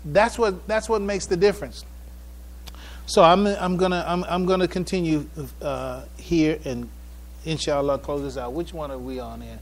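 A man giving a religious public address, halting and repeating phrases for emphasis. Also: a little high, steady tone. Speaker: speaker addressing a mosque congregation.

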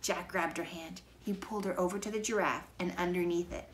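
A middle-aged woman narrating a children's book in a measured, dramatic way, recorded indoors by a camera a few feet away. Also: lowish voice, fairly even intonation. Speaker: storybook reader. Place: living room, indoors. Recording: noisy living-room camera recording.

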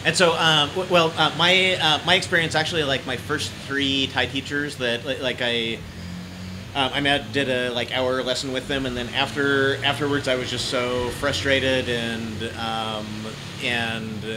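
A man in his forties doing a casual interview, speaking hesitantly with halting, stammering restarts. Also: mid-range voice, flat delivery. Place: small decorated indoor room. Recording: noisy room with background hum.

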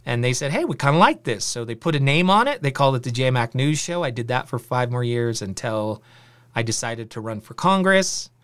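A middle-aged man with a rather high, smooth, clear voice tells his story as a podcast host. He talks in a loose, animated way, with filler words along the way. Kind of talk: casual storytelling monologue. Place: home studio with virtual backdrop.

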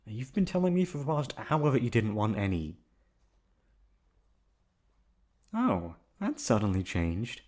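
A man playing an affectionate, teasing young boyfriend in a roleplay audio, coaxing playfully with drawn-out words. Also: medium pitch, warm tone. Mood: mock sulky, teasing. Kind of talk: roleplay ASMR audio acting.

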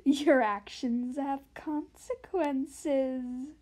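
A woman voicing an evil, imperious dragon queen for an amateur animation audition, with theatrical, drawn-out menace. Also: high voice, steady tone, a little mellow. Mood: cold menace. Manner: theatrical, drawn-out, deliberate delivery.

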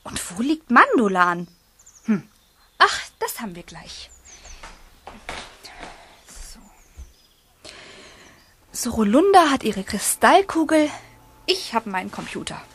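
A woman playing a curious, lively young girl witch in a children's radio play, inquisitive and full of exclamations. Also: on the high side, slow pace, pauses often, slight background noise, exaggerated voice.

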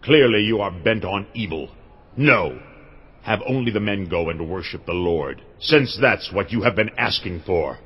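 A man's voice: fairly low pitch, lively intonation, a little slow, slightly rough, lo-fi sound, full character voice. Pharaoh, a haughty stubborn king. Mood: scornful. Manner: haughty, demanding.

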